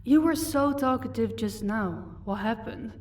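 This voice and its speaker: A woman's voice: a little high, a little slow, darkish tone, far from the mic, lightly acted. Proud female vampire captor for audio drama, played by a woman: commanding, dry sarcasm, off-mic sound with faint noise. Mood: menacing interrogation. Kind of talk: audio roleplay acting.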